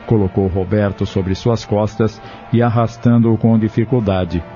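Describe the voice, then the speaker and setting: Dark-voiced man narrating a drama. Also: fairly low pitch, steady tone, measured pace. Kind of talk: scripted audio drama narration. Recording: dull lo-fi audio with music underneath.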